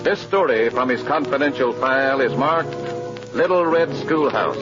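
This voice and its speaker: An American man announcing a 1950s radio drama in a portentous, measured, dramatic style. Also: medium pitch, animated. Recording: muffled old radio recording, music behind.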